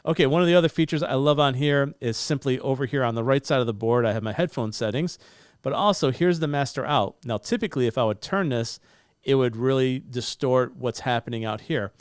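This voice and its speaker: Brief: upbeat reviewer in his forties, smooth, dry close-mic studio sound. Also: mid-range voice, a little fast, no room sound. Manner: upbeat, demonstrative, talks with his hands. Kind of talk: enthusiastic gear review. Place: home studio with bookshelves and blue lighting. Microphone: studio broadcast mic on boom arm, close.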